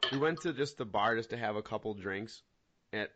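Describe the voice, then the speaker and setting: A man's voice: fairly low pitch, a little slow, noisy background. A man in his mid-twenties co-hosting a casual chat, telling rambling stories with laughs. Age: 20s. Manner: rambling, animated storytelling with laughs. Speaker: podcast co-host. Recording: dull lo-fi audio.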